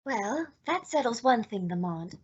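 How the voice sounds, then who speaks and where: A woman's voice: on the high side, sing-song, measured pace, slightly rough, dull audio, full character voice. A woman playing an anxious young female companion in old-time radio drama, with a melodramatic delivery. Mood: calm, curious. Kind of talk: 1940s radio drama acting.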